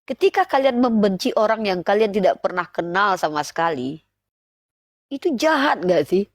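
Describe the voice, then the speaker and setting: A woman's voice: mid-range voice, lively intonation, normal speed, no room sound. A woman, speaking didactically in a live online religious debate and putting rhetorical questions to listeners. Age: 30s.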